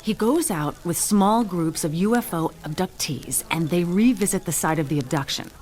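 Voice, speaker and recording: An actress playing a blunt, impatient book editor, stern, exasperated and demanding, in a radio drama. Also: female voice, on the low side, moderate pace, darkish tone, natural voice. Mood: businesslike. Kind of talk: modern radio drama dialogue.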